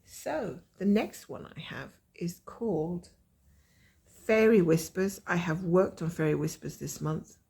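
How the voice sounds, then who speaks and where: Croaky woman chatting about stitching. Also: on the low side, a little mellow. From Scotland. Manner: rambling, chatty, self-mocking. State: croaky from a cold. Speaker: cross-stitch vlogger. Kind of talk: casual chat showing stitching progress.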